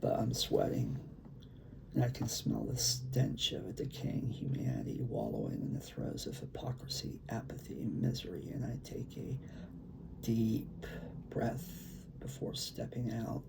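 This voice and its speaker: A man with a gravelly, creaky voice reads his own poetry as spoken word, with deliberate phrasing and emphatic stresses. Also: fairly low pitch, big pitch swings. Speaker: poet reading own work. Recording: faint background room noise.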